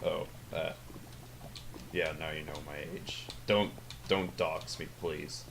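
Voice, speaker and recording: A teenage boy with a deep voice that sounds older than his age, talking over his gameplay in a rambling, hesitant way full of fillers and self-corrections. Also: steady tone, takes it slowly, vocal fry, a bit bright, slight background noise. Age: teens. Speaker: gaming channel host. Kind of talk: casual gameplay commentary.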